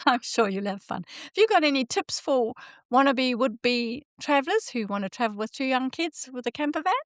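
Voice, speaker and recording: A woman's voice: sits high, lively intonation, normal speed, fairly bright tone, no room sound, studio quality. An older woman from Zimbabwe with a Zimbabwean accent, hosting a casual interview chat with enthusiasm and frequent laughter. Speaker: podcast host.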